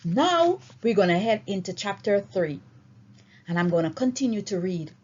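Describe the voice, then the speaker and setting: A woman reading a story aloud in an expressive, deliberate and emphatic way. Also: medium pitch, husky. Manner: emphatic, deliberate phrasing with dramatic swings. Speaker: audiobook reader. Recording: dull, lo-fi recording.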